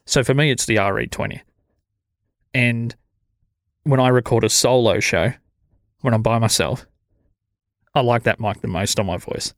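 An Australian man hosting a podcast in relaxed, low-energy casual chat, with a sibilant voice on a studio mic. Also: moderate pace, pauses often, dry recording. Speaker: podcast host. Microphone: studio dynamic mic.

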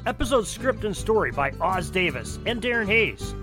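A man's voice: sits high, very animated, normal speed, over music. A man reading scripted end credits as the announcer of an audio drama podcast.

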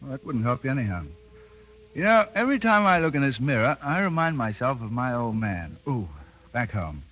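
An actor in a 1930s radio drama playing a seasoned, wry cavalry lieutenant with a needling, colloquial way of talking. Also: male voice, mid-range voice, very animated, a little fast. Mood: wry, irritated. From Canada. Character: seasoned cavalry lieutenant, wry, insubordinate, big-brotherly man. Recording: dull vintage 1930s radio recording, music behind.

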